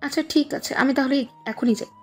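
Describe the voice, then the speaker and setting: Woman acting a touchy high-voiced little sister. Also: steady tone, fairly quick, close to an everyday voice. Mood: insistent, confiding. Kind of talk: dramatized audio love story. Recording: music under the voice.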